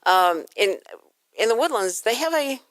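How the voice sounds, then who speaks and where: A woman's voice: fairly high pitch, big pitch swings, a little slow, no room sound. A woman hosting a casual radio interview, chatty and effusive with exclaiming reactions. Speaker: radio show host. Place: radio studio.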